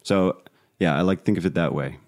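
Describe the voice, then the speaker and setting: A man from the Netherlands who grew up speaking talks in a fairly low voice. He is a guest in a relaxed interview, thinking aloud with some hesitation as he explains himself. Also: a little fast. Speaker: interview guest, independent podcast creator. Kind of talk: casual interview conversation.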